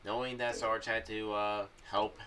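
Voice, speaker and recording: Bright-voiced young man, low pitch, rambling. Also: level tone. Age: young adult. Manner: rambling, halting, repeats himself. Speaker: YouTube vlogger. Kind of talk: casual commentary on a horror audio series. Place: small home room. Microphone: condenser mic with pop filter on boom arm. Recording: distant-sounding mic with faint background noise.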